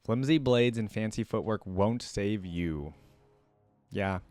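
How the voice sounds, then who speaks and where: A man's voice: fairly low pitch, slow pace, darkish tone, no room sound, studio quality. A man giving casual reaction commentary as host, easygoing and thinking aloud as he goes. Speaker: host of a fan reaction video.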